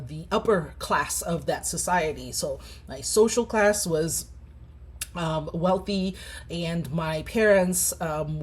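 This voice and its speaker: Zimbabwean-born woman in her 40s, low husky voice, telling her life story, lots of uhs. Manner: reflective, many ums and uhs. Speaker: clinical psychologist. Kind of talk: personal story to camera.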